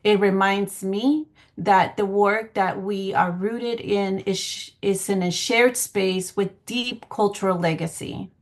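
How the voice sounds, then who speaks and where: A woman from Guatemala giving a semi-formal online presentation in an earnest, measured way with some hesitant phrasing, speaking over a video call. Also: mid-range voice, steady tone. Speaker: public health planning coordinator giving a presentation. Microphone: video call microphone.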